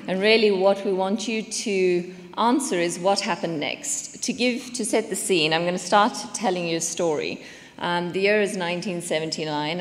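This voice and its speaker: A South African woman in her late thirties giving an upbeat, earnest talk at a business conference. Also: lowish voice. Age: late 30s. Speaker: conference speaker, company executive. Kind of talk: presentation to an audience. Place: conference hall. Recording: long reverb of a conference hall.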